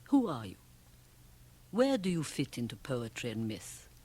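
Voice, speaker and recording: Shrewd woman, low husky voice, blunt and theatrical, radio play. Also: big pitch swings, measured pace, darkish tone, exaggerated voice. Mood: coaxing, provocative. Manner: emphatic, theatrical, probing. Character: shrewd, worldly, provocative Swiss woman, blunt and teasing. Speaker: radio drama actress. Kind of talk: radio drama acting.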